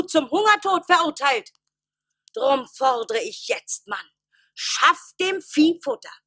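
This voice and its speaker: An actress playing an angry, commanding village wife in a declamatory, reproachful radio play style. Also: female voice, high-pitched, sharp tone, no room sound, clean studio sound, exaggerated voice. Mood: threatening. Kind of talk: stage-like radio play acting.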